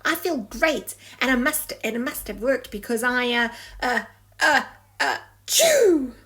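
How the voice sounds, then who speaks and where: A woman's voice: sits high, big pitch swings, a little slow, a bit bright, breathy edge, pitched above the performer's own voice, full character voice. A woman voicing a lonely, timid young male fish in a storybook, nervous, plaintive and eager to please. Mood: excited, sneezy.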